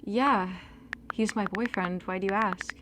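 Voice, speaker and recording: A noisy, clicky recording carries a woman acting as a young girlfriend in an audio drama. She sounds anxious and wounded, with a medium-pitched voice. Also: fairly even intonation, a little slow, a bit bright, natural voice. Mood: guarded, uneasy. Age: young adult.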